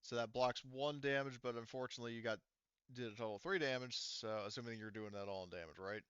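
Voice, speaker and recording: A man's voice: mid-range voice, fast talker, fairly bright tone, smooth, dry recording, highs cut off. A man casually narrating a tabletop game as game master, thinking aloud through the rules in an informal way.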